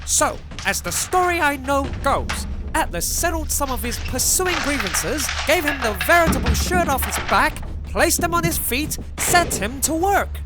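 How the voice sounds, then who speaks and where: High, very lively storyteller for a gangster radio play, wry and colloquial female actor, music underneath. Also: moderate pace, hardly any pauses. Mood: wry, reflective. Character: lively storyteller recounting gangster exploits with relish. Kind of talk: scripted narration in an audio drama.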